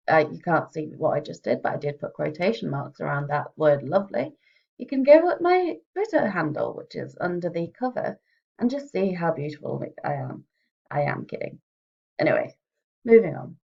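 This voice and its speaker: Low-voiced, creaky woman author, muffled recording. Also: darkish tone. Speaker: author reading own book. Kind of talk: chatty introduction, then expressive audiobook-style reading. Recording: dull, muffled home recording.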